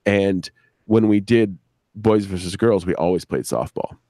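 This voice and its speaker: A man chatting casually as a guest show creator on a live stream, rambling and halting, repeating words and swearing freely. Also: sits low, mellow, dry recording, studio quality.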